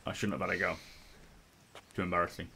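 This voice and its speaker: A man's voice: low voice, flat delivery, fast, creaky, pushed and bright, slight background noise. A man casually commenting over gameplay in a chatty, self-mocking way. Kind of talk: casual let's-play commentary.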